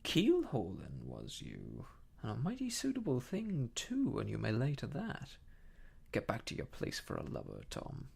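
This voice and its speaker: A man's voice: fairly high pitch, huge pitch swings, a little slow, vocal fry, darkish tone, breathy edge, voice pushed up, heavily acted. A man playing a cunning one-legged seafaring landlord in an audiobook, blustering in salty sailor talk, hearty one moment and stern the next. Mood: scornful.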